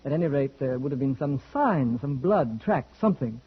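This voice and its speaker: An actor playing a brisk, wry, skeptical but uneasy English husband, husky-voiced, in old-time radio drama style. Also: male voice, mid-range voice, expressive. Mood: dismissive, wry. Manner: brisk, wry, well-bred. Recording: dull old radio recording.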